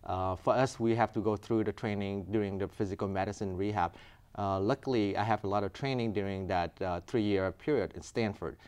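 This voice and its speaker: A man from Vietnam with a fairly low voice. He speaks earnestly and patiently, explaining his medical work point by point. His English has grammar. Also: steady tone, fairly quick, a little mellow, clear, resonant tone. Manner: earnest, explaining step by step, non-native grammar. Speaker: physician guest. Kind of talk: explanatory interview answers.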